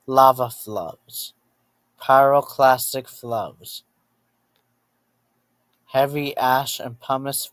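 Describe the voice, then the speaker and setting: A man narrating a scripted emergency alert as an announcer, halting between short phrases and often repeating himself to rephrase. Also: mid-range voice, no echo, poor audio.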